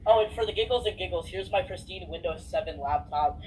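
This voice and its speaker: A man with a somewhat high voice chats in a casual, joking way. His delivery is playful and halting, circling back over his words. There is some noise on the amateur recording. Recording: slightly noisy amateur recording.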